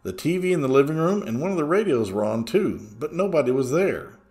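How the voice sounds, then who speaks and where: A man's voice: on the high side, animated, brisk, a little mellow. A man reading a first-person true story as a scripted narration, in a storytelling style.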